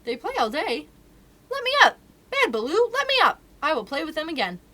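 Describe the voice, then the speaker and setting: Lady putting on a whiny little jungle boy, high and shouty, sulky and show-offy, faint hiss behind. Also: fairly quick. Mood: boastful sulky. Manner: whiny and excitable, shouting at times. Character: young boy, sulky, boastful man cub.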